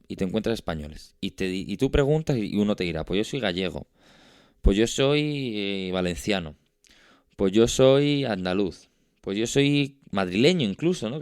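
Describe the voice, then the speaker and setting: A man's voice: lowish voice, darkish tone, clear, resonant tone, no echo, clean studio sound. A man with a Castilian Spanish accent hosting a podcast discussion, explaining things conversationally and often checking in with tag questions. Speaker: podcast host.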